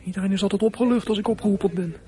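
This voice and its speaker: A man's voice: sits high, rapid-fire, warm tone, close to an everyday voice. A young man playing a troubled, resentful teenage runaway in a radio drama, muttering his thoughts and flaring up in indignation. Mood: defiant, resentful. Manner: muttering to himself, bursts of indignation. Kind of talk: radio drama acting with inner monologue.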